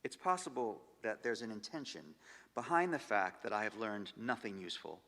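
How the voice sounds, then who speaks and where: Creaky-voiced man reading in a hall. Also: on the low side. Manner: measured and expressive, dropping to near-whispers on trailing phrases. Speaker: actor giving a stage reading. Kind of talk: dramatic literary reading of diary entries. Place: large concert hall. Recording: long hall reverb.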